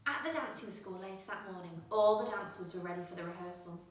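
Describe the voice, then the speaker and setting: A woman reading a children's storybook with expressive narration, putting emphasis on the action words. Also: lowish voice, fast. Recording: very echoey room, distant microphone.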